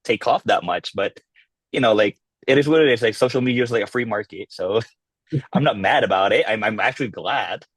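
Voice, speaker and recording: Low-voiced relaxed man, halting. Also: slightly rough, no room sound. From Philippines. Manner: relaxed, halting, many fillers and restarts. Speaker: podcast guest, content creator. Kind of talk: casual interview chat.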